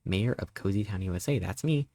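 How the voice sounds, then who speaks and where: A man in his thirties, a live streamer chatting casually and greeting viewers, rambling playfully with sudden squeaky jumps in pitch. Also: animated, brisk, creaky, mellow, dry recording. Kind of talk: casual live-stream chat reading chat messages.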